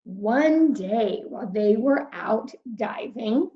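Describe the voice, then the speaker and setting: A woman with a fairly high voice reads a children's story in a roomy, echoey space. She takes her time and explains things simply for little listeners. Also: expressive. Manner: slow, simple explaining addressed to young listeners. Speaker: read-aloud storyteller, teacher of young children. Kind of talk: expressive children's picture book read-aloud with asides. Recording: roomy echo, slightly dull sound.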